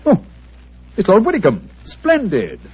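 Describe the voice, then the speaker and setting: An actor playing a suave, teasing English gentleman detective in a 1940s radio drama, precise and drily amused. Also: sits high, wildly swooping pitch, unhurried, breathy edge, heavily acted. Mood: genial, brisk. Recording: dull, noisy 1940s radio recording.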